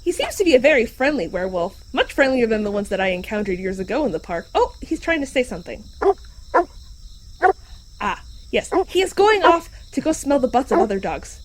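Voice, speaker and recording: A woman's voice: on the high side, big pitch swings, a little fast. A woman improvising as a polite, chatty park resident in a fiction podcast. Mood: polite, amused. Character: polite park resident encountering a friendly werewolf.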